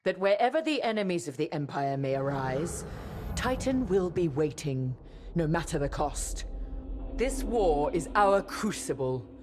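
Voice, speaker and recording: An actress playing a proud, ruthless Imperial squadron leader, giving a grandiose, measured speech in a video game. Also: female voice, sits low, animated, noisy background. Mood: proud menace. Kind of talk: cinematic game monologue.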